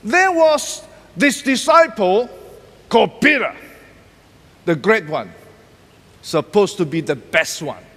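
A middle-aged male pastor preaching with a high, lively voice that leaps up and drops low for emphasis. He speaks slowly and deliberately, hammering single words again and again. The sound carries a long reverb from a large church hall. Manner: emphatic, repeats key words, pacing and gesturing widely. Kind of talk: sermon. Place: large church stage decorated for Christmas.

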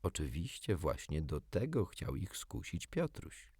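A man's voice: low voice, very animated, measured pace, darkish tone, husky, dry recording. A man narrating a children's storybook expressively, as an audiobook reader.

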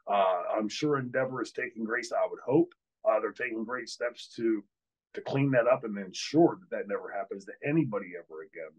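A man's voice: medium pitch, a little mellow, husky, low-quality recording. An older man, host and former wrestler, telling a candid story on a video call from a hotel room, with many fillers and restarts. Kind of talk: storytelling in an interview. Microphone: video call, webcam.

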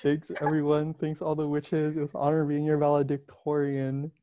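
Low, mellow-voiced young woman. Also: monotone, normal speed, lo-fi sound, a little hiss or hum. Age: young adult. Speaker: presenter at an online graduation. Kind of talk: casual chat. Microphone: video call.

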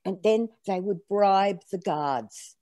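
A woman's voice: mid-range voice, slow, dry recording, clean studio sound. A woman around seventy, French as her first language, telling her family's story as a guest on a video call interview from her home. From Australia. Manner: animated, gestures while telling stories. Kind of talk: conversational interview. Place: home room, indoors.

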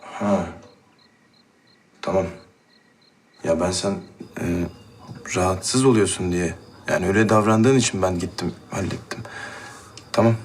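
Casting: stubborn young man, low voice, brusque and defensive, naturalistic drama, insects chirping in noisy air. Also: a little slow, pauses often. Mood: wounded, gruff. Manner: curt, colloquial, dismissive.

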